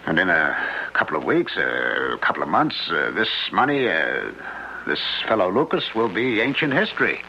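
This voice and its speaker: An actor playing an anxious, cautious husband who stammers and hesitates in a radio mystery drama. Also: male voice, low voice, sing-song, normal speed, gravelly, exaggerated voice. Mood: defensive, stalling. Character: anxious, cautious husband, a failed painter hiding guilt. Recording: dull lo-fi radio recording with hiss.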